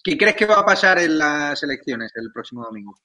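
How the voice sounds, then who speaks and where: A man's voice: fairly high pitch, animated, fairly quick, sharp tone. A man with a Spanish accent from Spain, putting conversational questions as a political interviewer.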